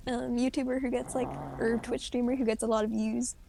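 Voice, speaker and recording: A young woman streamer with a high voice, chatting casually and rambling, often giggling and restarting her sentences. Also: level tone, fairly quick, vocal fry, noisy background. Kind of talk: casual gaming chat.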